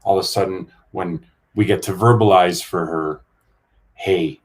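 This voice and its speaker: A man explaining his experience in an earnest, measured way. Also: low voice, fairly even intonation. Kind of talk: reflective conversation.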